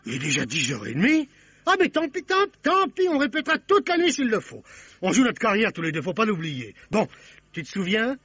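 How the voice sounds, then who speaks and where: A man playing a fussy, excitable theatrical director in a radio play, exclamatory and cajoling. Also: sits high, brisk, slightly rough, no echo, full character voice. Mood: anxious, urgent. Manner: theatrical, exclamatory, cajoling, sings trumpet cues. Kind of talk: French radio play acting. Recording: dull band-limited old radio recording.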